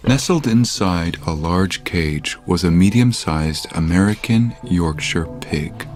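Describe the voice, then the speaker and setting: A man narrating a suspense audio drama as a composed, deliberate storyteller. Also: low-pitched, lively intonation, over music. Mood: measured suspense.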